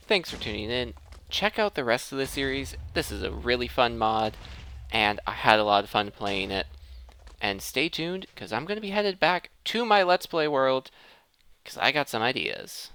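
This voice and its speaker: Animated bright-voiced man doing gameplay commentary. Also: mid-range voice, smooth, dry recording. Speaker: gaming let's play host.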